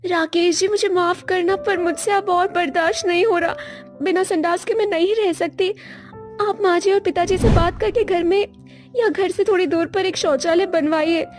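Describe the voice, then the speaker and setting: A woman's voice: very high voice, fairly even intonation, moderate pace, over music. A woman voicing an outspoken, earnest young village woman in a cartoon family story. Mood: pleading. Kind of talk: acted cartoon story dialogue.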